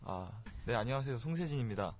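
Quick-witted young man, faint hiss. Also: mid-range voice, expressive, darkish tone. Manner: chatty, quick-witted quips. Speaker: co-host. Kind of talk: casual comedic chat.

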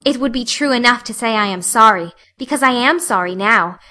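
A woman voices a talkative young girl in a storybook. The voice is fairly high and clear-edged bright, earnest and dramatic, with a plaintive solemnity. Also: a little fast. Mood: contrite, earnest. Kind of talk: audiobook character acting.

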